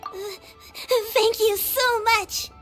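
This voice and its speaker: A tiny, very high child's voice, polite and a little shy. The child speaks earnestly and hesitantly in a video game, with light music behind. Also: female voice, fairly even intonation, drawn-out words, fairly bright tone, exaggerated voice. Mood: shy, grateful.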